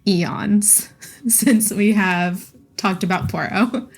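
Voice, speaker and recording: A woman's voice: medium pitch, fairly even intonation, takes it slowly. Woman co-hosting a casual book podcast. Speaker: podcast co-host.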